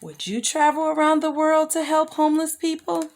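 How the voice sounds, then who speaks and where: A woman's voice: high-pitched, normal speed. Woman reading a children's story aloud. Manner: expressive, asks the young listeners questions.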